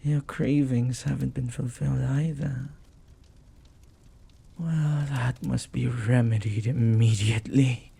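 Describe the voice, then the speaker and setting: A man voicing a flirty, devoted demon in an intimate romantic audio roleplay, speaking formally and reverently in a husky voice that often drops to a whisper. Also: mid-range voice, steady tone, dark tone, full character voice. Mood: hungry, flirty. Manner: slow, formal, reverent phrasing. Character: jealous, flirty, devoted male demon bound to master.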